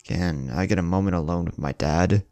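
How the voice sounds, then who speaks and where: A man's voice: low voice, moderate pace, a little mellow, no room sound. A man playing a cheeky, pouting teenage ninja boy who whines and grumbles in an anime fan story. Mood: pouty, grumbling.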